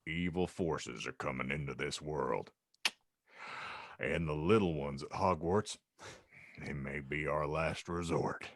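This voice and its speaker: A man voicing a grave, gruff man who warns of evil, in a comic audiobook reading. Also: a bass voice, takes it slowly, pauses often, no echo, clean studio sound, voice pushed down, exaggerated voice. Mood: grave, ominous. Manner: grave, ominous.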